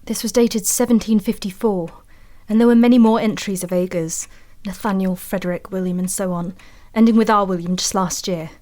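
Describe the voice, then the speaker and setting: An actress playing an anxious, haunted woman antiquarian in an audio drama, telling her tale in a measured, confiding way. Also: medium pitch, steady tone, darkish tone, lightly acted. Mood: brooding, intent. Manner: measured, confiding, uneasy storytelling.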